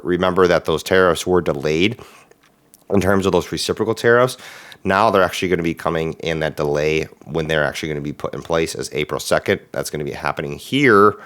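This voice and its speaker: Male market-channel host, very low, hoarse and raspy while recovering, casual commentary full of fillers. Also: fairly bright tone. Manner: chatty, with frequent "uh" and "um" fillers.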